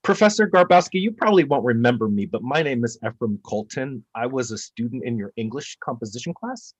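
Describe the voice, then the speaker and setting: An actor playing an anxious, embittered college student of about twenty in a play reading, earnest and colloquial. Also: male voice, medium pitch, animated, brisk, slightly rough, no echo. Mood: anxious, pleading.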